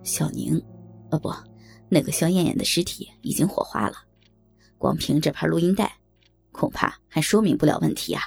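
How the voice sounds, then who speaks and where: Woman acting a low, measured, cautious deputy mayor. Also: creaky, a little mellow, breathy edge, soft background music, exaggerated voice. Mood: hesitant. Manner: measured, deliberate. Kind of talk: audio drama acting.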